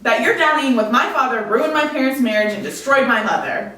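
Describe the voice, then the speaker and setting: A woman's voice: a little high, a little fast, pushed and bright. A woman playing a vengeful young evil witch in a script table read, taunting and scolding. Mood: accusing. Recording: echoey room, far mic, faint noise.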